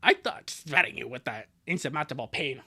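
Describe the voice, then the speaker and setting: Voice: mid-range voice, expressive, a little fast, bright, forceful tone, husky. A livestreamer with a mid-range voice reads fan fiction aloud and comments on it. The delivery is sarcastic and exasperated, with crude joking asides. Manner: sarcastic, exasperated, breaks into outbursts. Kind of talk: live comic reading with joking commentary.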